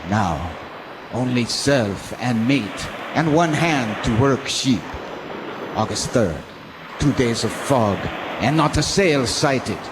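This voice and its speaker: An actor playing a weary, dutiful sea captain narrating his log gravely in a radio drama. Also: medium pitch, expressive. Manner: grave, measured, halting delivery. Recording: noisy amateur local radio recording.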